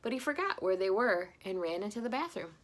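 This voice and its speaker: A woman reads a storybook for children at an ordinary mid pitch. Her delivery is animated and expressive, shaped for young listeners following along. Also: fairly quick. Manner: animated, with interjected asides to listeners. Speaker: storybook reader. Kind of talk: expressive children's read-aloud narration.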